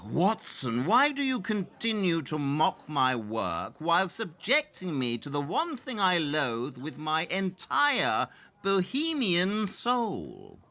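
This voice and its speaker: A snooty impatient detective man, bright forceful voice, really swoopy pompous delivery, audio play. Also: on the high side, wildly swooping pitch, takes it slowly, heavily acted. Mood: exasperated, disdainful. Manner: pompous, precise, theatrical diction. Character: brusque, haughty, impatient male consulting detective.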